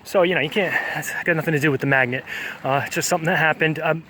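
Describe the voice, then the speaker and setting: A man's voice: on the high side, steady tone, quick pace, pushed and bright, a little hiss or hum, poor audio. A man, vlogging outdoors on a beach in a rambling, hesitant way, full of fillers and self-corrections. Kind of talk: casual walking vlog monologue.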